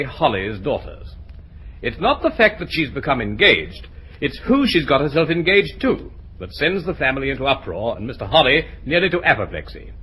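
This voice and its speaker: Bright, theatrical 1950s radio announcer, man. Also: fairly high pitch. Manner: theatrical, declamatory. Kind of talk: 1950s formal radio drama introduction. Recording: noisy 1950s radio recording.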